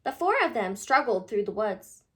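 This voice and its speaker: A woman reading a children's picture book aloud in an animated, expressive way, addressing young listeners. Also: mid-range voice, moderate pace.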